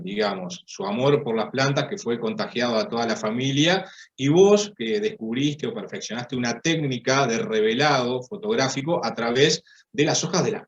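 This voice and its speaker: A man runs a panel discussion, calmly introducing each guest and posing questions. His voice carries the echo of a bare room. The sound is muffled and lo-fi. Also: mid-range voice. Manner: orderly, introduces speakers and poses questions. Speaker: moderator.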